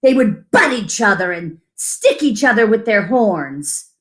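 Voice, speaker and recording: Woman, children's story-time reader, unhurried pace, very animated intonation, gentle storytelling. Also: medium pitch. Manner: gentle, deliberate storytelling with asides about the pictures. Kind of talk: expressive read-aloud of a picture book to children.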